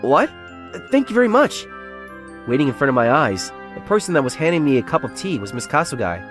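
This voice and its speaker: A man's voice: a little high, big pitch swings, fairly quick, fairly bright tone, soft background music. A man playing an earnest, self-doubting young salesman who secretly feels like a nerd, narrating his own story in a comic dub. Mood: flustered. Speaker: narrator and lead character of a romance comic dub. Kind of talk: first-person dramatised narration.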